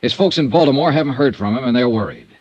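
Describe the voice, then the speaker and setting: Casting: a man as a hard-boiled investigator, dry and persistent, lively delivery. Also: medium pitch, quick pace, dry recording, highs cut off, close to an everyday voice. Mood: terse. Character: tough wry male insurance investigator. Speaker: investigator and narrator. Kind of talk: 1950s radio drama acting.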